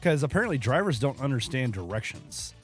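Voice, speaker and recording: A man with a hoarse voice co-hosts a podcast and talks over beers in a loose, rambling way. He laughs often and sits at a middle pitch. Faint music plays under him. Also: big pitch swings, brisk. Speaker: podcast co-host. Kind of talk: casual chat over beers.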